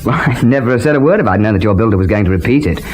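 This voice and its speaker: A man playing a genial, eccentric gentleman with a British-tinged accent, airy and courteous in an old radio serial style. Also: on the low side, lively intonation, very fast, natural voice. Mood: airy, chatty. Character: genial, breezy, eccentric gentleman who sketches. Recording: noisy vintage radio recording.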